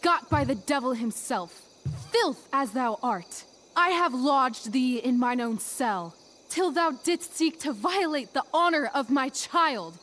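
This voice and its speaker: Teenage girl playing a commanding stage sorceress, unhurried. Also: high-pitched, expressive, a bit bright, a little hiss or hum, exaggerated voice. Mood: commanding, scornful. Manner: imperious, deliberate archaic delivery. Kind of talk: theatrical Shakespearean stage recitation in a game cutscene.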